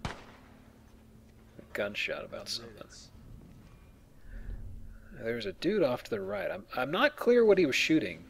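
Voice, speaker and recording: A man's voice: on the high side, very animated, measured pace, gravelly, pauses often, slight background noise. A man hosting a let's play with casual, playful commentary and jokey asides. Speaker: let's play host and player.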